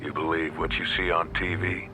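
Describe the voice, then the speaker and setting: An actor playing a sardonic, rough-spoken gangster in a video game cutscene, often half-whispering. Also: male voice, rumbling low, little pitch movement, moderate pace, low-quality recording. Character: sardonic gangster grieving on the phone. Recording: dialogue over game music.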